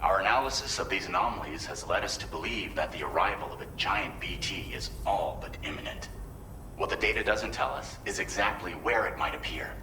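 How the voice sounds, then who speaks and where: Crisp, low-voiced mission briefer. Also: male voice, pushed and bright. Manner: measured, businesslike, courteous briefing. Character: earnest male support team member briefing a courier. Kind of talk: game cutscene dialogue. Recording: roomy echo, faint low rumble.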